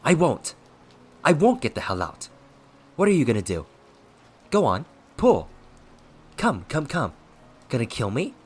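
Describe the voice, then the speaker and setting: A man's voice: sits low, very animated, unhurried, exaggerated voice. A man voicing a gleefully unhinged, cocky male ghost who mocks and taunts in an audiobook story. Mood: defiant, taunting. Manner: cocky, mocking, manic, shouting and swooping.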